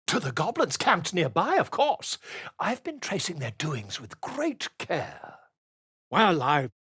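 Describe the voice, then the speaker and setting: An actor playing a pompous, self-important male bard and author in a fantasy video game, speaking in florid, grandiose phrases with a husky voice. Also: on the high side, a bit bright, no room sound, clean studio sound, exaggerated voice. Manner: florid, grandiose, wordy delivery. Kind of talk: theatrical fantasy game dialogue.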